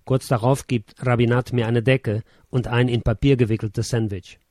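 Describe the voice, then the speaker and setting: A man narrates a first-person memoir in a measured, sober manner, pitched squarely in the middle of the male range. He reads close to the microphone, and the recording is dry, with no room sound at all. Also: fairly even intonation, darkish tone, no room sound.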